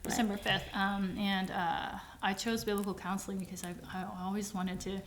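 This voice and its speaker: A woman's voice: mid-range voice, little pitch movement, reverberant, slight background noise. Vietnamese-native woman sharing a testimony. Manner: halting, emotional storytelling with many restarts. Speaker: podcast co-host. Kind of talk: personal testimony in casual conversation.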